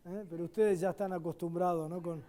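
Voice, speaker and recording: A man with an Argentine accent preaching a sermon as a guest pastor at a church service. He swings between loud, emphatic declarations and quiet asides. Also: sits high, fairly even intonation, brisk.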